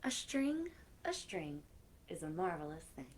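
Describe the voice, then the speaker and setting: A woman with a slightly low voice reads picture books aloud for young children. She takes her time, speaking expressively and chatting between passages as a story time host. The live-stream recording carries some background noise. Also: very animated, unhurried.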